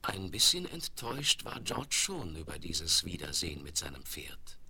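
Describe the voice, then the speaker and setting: A man as a calm, gentle narrator with a gravelly voice, reading scripted storytelling for a 1970s German radio play. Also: low voice, normal speed, full character voice. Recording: crackly 1970s vinyl rip with clicks.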